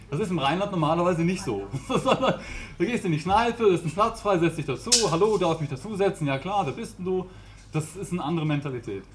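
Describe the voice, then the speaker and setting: A man's voice: sits high, noisy background. A man in his thirties from the Rhineland, giving a chatty, informal talk to an audience with a handheld mic in a small brick-walled room. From Rhineland, Germany. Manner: chatty, laughs often, gestures.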